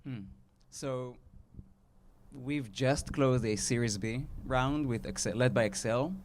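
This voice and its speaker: A man with an Israeli accent, a startup founder speaking at a live event, earnest and measured with pauses and restarts. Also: medium pitch, a little hiss or hum.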